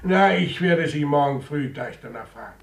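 An actor playing a persistent police commissioner in a 1950s German radio play, questioning people tersely. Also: male voice, on the high side, lively intonation, moderate pace. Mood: probing, businesslike. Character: persistent, probing police commissioner on a murder case. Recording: old radio recording with clear background noise.

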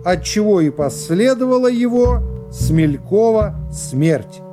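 Man putting on a high-pitched, droning court secretary. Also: expressive, over music. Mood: solemn, official. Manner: formal, drawn-out, official. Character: male court secretary droning out a formal indictment. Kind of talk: radio play character acting.

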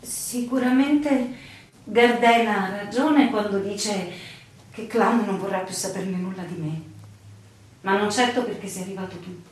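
A woman's voice: medium pitch, a little slow, lightly acted. Actress as an earnest young woman, echoing. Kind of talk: theatrical radio drama dialogue. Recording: very echoey, distant, faint noise.

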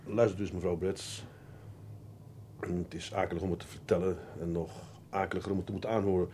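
An actor playing a grave, blunt but decent police detective in a radio drama, measured and polite in manner. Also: male voice, sits low, level tone, dark tone, slight background noise, lightly acted. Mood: grave, hesitant. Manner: measured, polite, hesitant before bad news. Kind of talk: Dutch radio play acting.

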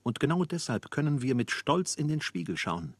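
A proud, earnest male factory worker in a literary radio play. His mid-pitched voice is husky and recorded very dry as he tells the workers' story. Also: sing-song, moderate pace, no room sound.

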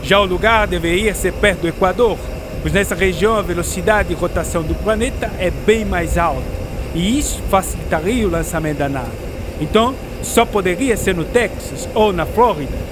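An actor playing a proud, curious writer who loves science, theatrical and explanatory, in a radio drama. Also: male voice, high-pitched, normal speed, noisy background, natural voice. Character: Jules Verne, proud, curious writer enthusiastic about science. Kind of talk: educational radio drama acting. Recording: music behind a studio radio drama.

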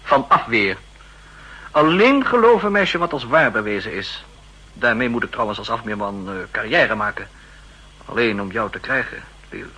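Bright, husky young secret agent. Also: male voice, medium pitch, animated, moderate pace, low-quality recording. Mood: eager. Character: jealous, ambitious young male counterespionage agent, sceptical and eager. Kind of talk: 1960s Dutch radio drama acting. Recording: 1960s radio drama recording.

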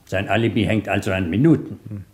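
An actor in a German radio drama playing a shrewd, controlled police inspector, measured and dry in his speech. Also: lowish voice, fairly even intonation, a little mellow, close to an everyday voice. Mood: pondering.

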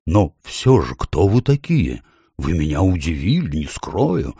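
Guy doing a fussy lady owl from a storybook, earnest, hoots a lot, lively swoopy intonation. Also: low-pitched, unhurried, husky, no echo, studio quality, full character voice. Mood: curious. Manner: hooting interjections, earnest and fussy. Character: fussy hooting talking female owl courtier.

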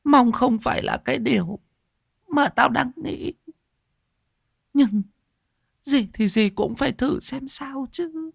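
A woman's voice: high voice, slow, creaky, pauses often, breathy edge, dry recording, studio quality, full character voice. A woman playing a stern, secretive old mother-in-law in an audio story, blunt, cryptic and weary. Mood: ominous, commanding.

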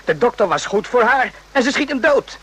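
An actor playing an indignant, defensive soldier in a radio play, forceful, with a raspy, strained voice. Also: male voice, very high-pitched, lively intonation, normal speed, heavily acted, poor audio. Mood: accusing, bewildered.

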